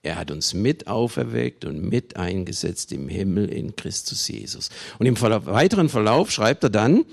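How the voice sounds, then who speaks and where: Male preacher from Germany: creaky voice, swooping pitch, emphatic phrasing with pauses, speaking to a congregation. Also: sing-song. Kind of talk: sermon to a congregation.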